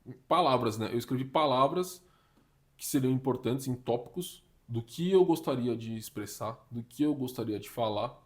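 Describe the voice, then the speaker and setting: A young man from Brazil, giving casual career advice in an animated, slangy way. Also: medium pitch, normal speed. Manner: slangy and animated, uses "tá ligado", "mano", "papo reto". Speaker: career vlogger giving communication tips.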